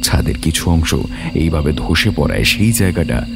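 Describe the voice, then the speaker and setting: A man narrating a suspense story as scripted drama, with solemn, atmospheric, deliberate phrasing. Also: a bass voice, big pitch swings, creaky, loud music behind the voice.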